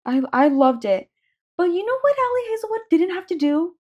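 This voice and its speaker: A woman's voice: very high voice, sing-song, a little fast, muffled recording. A woman co-hosting a casual podcast, chatting in an informal, effusive way. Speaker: podcast co-host. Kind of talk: casual book chat.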